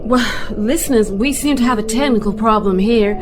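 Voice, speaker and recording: A woman's voice: a little high, moderate pace, over music, natural voice. A woman playing a radio presenter in a science fiction radio drama. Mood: alarmed. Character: female live radio presenter interviewing a diver. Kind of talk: radio play acting as live broadcast.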